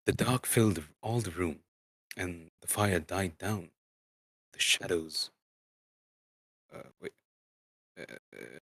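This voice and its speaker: A man narrating a book dramatically as an amateur, halting and stumbling over words now and then. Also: sits low, slightly rough, no room sound, poor audio. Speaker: streamer reading aloud.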